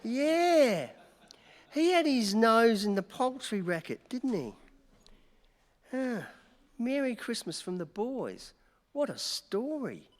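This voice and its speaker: A man's voice: very high-pitched, sing-song, slow pace, long pauses, full character voice. A man playing a tipsy, sardonic newspaper reporter in a comic radio play, mock-grand and tripping over his words. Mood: startled, excited. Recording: reverberant hall.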